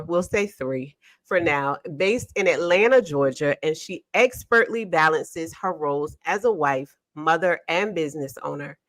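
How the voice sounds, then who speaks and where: Woman podcast host, low voice, dry close sound, warm and affirming. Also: no echo. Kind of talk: interview hosting.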